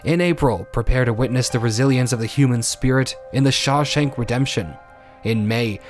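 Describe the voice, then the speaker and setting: A man announcing and narrating a radio drama in a formal, theatrical scripted style. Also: medium pitch.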